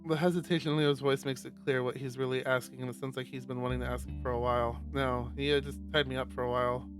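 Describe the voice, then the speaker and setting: A man's voice: mid-range voice, little pitch movement, fast. A man streaming a visual novel, reading first-person fiction aloud. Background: guitar music.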